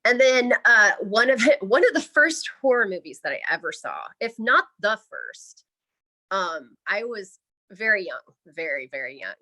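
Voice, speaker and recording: A woman's voice: medium pitch, sing-song, normal speed, pushed and bright, poor audio. A woman from the American Midwest chatting casually and candidly as an interview guest, often saying "like". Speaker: interview guest, podcaster and writer.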